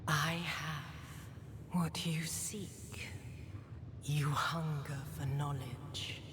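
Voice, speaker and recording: Ancient demon queen for a game, low slow menacing half whisper, seductive n regal, big echoey hall. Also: fairly even intonation. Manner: slow, deliberate, breathy half-whispered taunting. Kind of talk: dramatic video game cutscene acting. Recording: cavernous reverberant hall, clear rumble behind.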